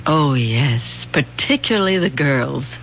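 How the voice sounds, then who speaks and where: A woman's voice: rumbling low, animated, slow pace, full character voice. A bitter middle-aged wife and mother in an old radio drama, brooding and melodramatic. Her lines drip with wounded sarcasm, on a dull recording with a faint hum.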